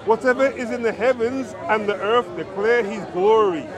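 A man's voice: high-pitched, moderate pace. A middle-aged man debating outdoors in a city park, reciting verses and insistently checking in with "yeah?". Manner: insistent, keeps asking "yeah?". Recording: crowd murmur behind.

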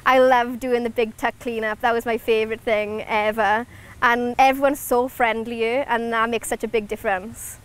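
A woman's voice: on the high side, flat delivery, normal speed, smooth. A young woman from Wales, an international student chatting casually with lots of fillers. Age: young adult. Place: outdoors on campus.

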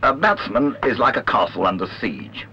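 A man reading a literary documentary narration in a measured, wry way. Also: mid-range voice, big pitch swings. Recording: dull lo-fi old film soundtrack, clear noise.

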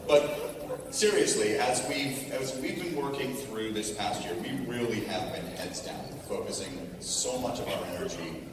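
Middle-aged American male keynote speaker, lowish slightly hoarse voice, conversational delivery, very reverberant noisy hall. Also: measured pace. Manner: conversational, restarts for emphasis, tags points with "right?". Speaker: technology executive, conference speaker. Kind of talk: informal keynote presentation to an audience. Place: large hotel conference ballroom stage. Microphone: PA heard through a distant audience camera. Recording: echoey hall, distant audience recording, noisy.

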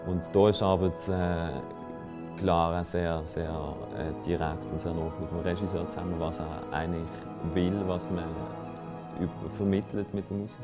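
A young man with a Swiss German accent speaks earnestly, with small hesitations. His voice is very deep and level, and music runs clearly underneath. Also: flat delivery, moderate pace. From Basel, Switzerland. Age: young adult. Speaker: film music competitor. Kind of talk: interview. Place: indoor room.

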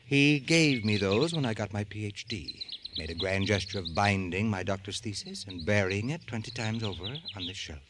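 Actor as a bitter, cultured man, lowish voice. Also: animated, moderate pace, few pauses, close to an everyday voice. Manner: precise, sardonic, theatrical. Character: bitter, cultured male doctor, resentful brother of a rich man. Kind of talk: old-time radio drama acting. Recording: old radio recording with faint noise.

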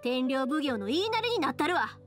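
A woman's voice: high-pitched, sing-song, brisk, faint music behind. A woman playing a frank, stubborn young fireworks maker with a Kansai accent in a Japanese anime game. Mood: determined.

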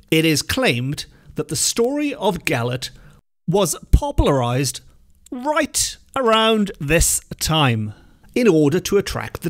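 A Welsh man hosting a solo folklore podcast in a chatty, self-deprecating way, with small restarts. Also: high voice. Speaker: podcast host.

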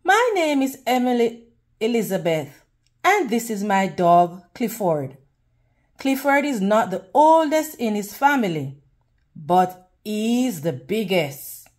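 A woman reading a picture book aloud to children in a deliberate, teacherly way, pausing to put questions to them. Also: medium pitch, big pitch swings, darkish tone. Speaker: children's read-aloud host.

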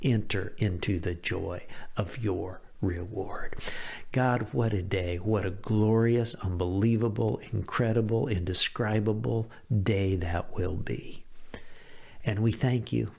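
A middle-aged American man speaks as a pastor giving a devotional. His voice has a gravelly creak, and he phrases things earnestly and deliberately, leaning on key words. He is close to a studio microphone in a small room. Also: lowish voice, a little mellow. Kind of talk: devotional sermon to camera. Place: small home office, indoors. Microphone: close studio microphone on a boom arm.

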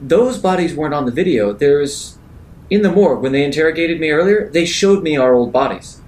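A man with a slightly high voice talks quickly as a player in a tabletop roleplaying game. He improvises in character and calls out his moves. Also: expressive, a little mellow, rough recording.

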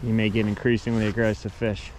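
A man with an American accent narrating his fishing trip on a creek bank, recorded on a chest-mounted action camera, relaxed and chatty but excitable when a fish bites. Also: on the low side, quick pace, noisy background. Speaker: fishing vlogger. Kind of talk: casual outdoor narration. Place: creek bank outdoors in winter.

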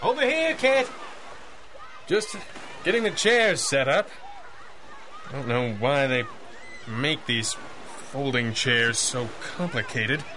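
An actor playing an affable, fussing hero off duty, muttering in mild exasperation in a radio drama. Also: male voice, mid-range voice, pushed and bright, a little hiss or hum.

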